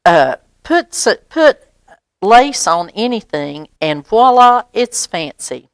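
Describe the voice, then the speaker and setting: A woman voicing an excitable, dramatic little girl from a picture book, gushing and exclaiming with drawn-out words. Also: medium pitch, no room sound, studio quality. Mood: showing off.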